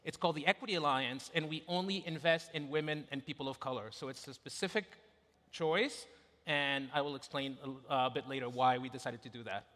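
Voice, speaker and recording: A man speaking as a venture investor on a panel, in a deliberate, reflective manner. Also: medium pitch, a bit bright. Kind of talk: panel discussion. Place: large hotel conference hall. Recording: reverberant conference hall.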